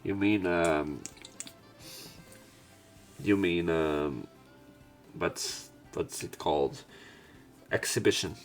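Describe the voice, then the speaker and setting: Low-pitched man narrating, slightly under the weather. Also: extremely slow, long pauses, soft background music. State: sick with a cough. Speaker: let's play narrator and commentator. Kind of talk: read-aloud visual novel narration with casual commentary.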